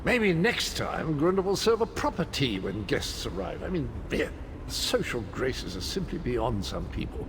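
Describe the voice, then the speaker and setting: Wildly animated, cocky swordsman. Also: mid-range voice, a little slow, noisy background. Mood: wry, carefree. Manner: flippant, theatrical, wry. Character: cocky, whimsical male swordsman, jokey and carefree. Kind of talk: scripted video game dialogue.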